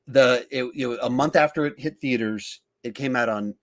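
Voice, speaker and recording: Guy in his 30s hosting a podcast, casual movie chat, mid pitched voice. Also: normal speed. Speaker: podcast host. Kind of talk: casual film discussion.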